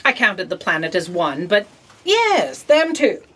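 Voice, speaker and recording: A woman playing a brisk, callous, penny-pinching boss in a comedic sci-fi audio drama, bossy and matter-of-fact. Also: medium pitch, wildly swooping pitch, fairly bright tone, exaggerated voice. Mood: dismissive.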